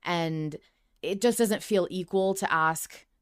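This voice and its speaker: Smooth, lower-voiced woman podcast co-host. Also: slow. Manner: emphatic, sweary, expressive. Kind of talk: casual fan discussion podcast.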